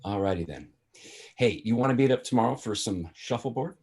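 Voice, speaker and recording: Guy doing a shameless flirty sitcom dad, breezy, really animated, middling pitch. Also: a little fast, lightly acted. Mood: casual. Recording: video call table read.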